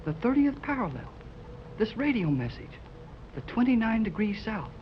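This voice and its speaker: A man's voice: sits high, very animated, normal speed. An actor playing an eager, inquisitive teenage boy with slangy, boyish delivery in 1930s radio serial style. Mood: curious. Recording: dull, noisy 1930s radio recording.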